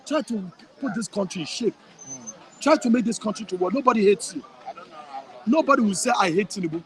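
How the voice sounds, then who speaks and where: A man with a Nigerian accent and a high voice talks with passion and emphasis. He circles back over his phrases to drive a point home. He is speaking outdoors in a town. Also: expressive, a little fast. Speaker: guest. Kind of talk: impassioned interview answers.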